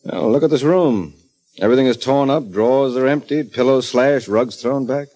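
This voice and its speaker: An actor playing a suave, wry private detective in a 1940s radio drama, smooth and teasing as he reasons things out. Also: mid-range voice, moderate pace, dark tone, close to an everyday voice. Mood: cool reasoning. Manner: wry, smooth, explains deductions to a woman he teases.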